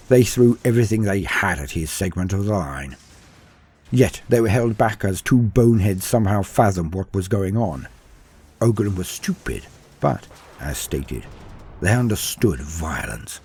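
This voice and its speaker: A man's voice: fairly low pitch, darkish tone, slightly rough. A man reading a scripted war story as narrator, in a dramatic storytelling style. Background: faint music.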